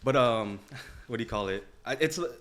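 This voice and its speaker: A man's voice: medium pitch, normal speed, a bit bright. A man chatting casually as a podcast co-host, slangy and often repeating his words. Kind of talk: casual chat.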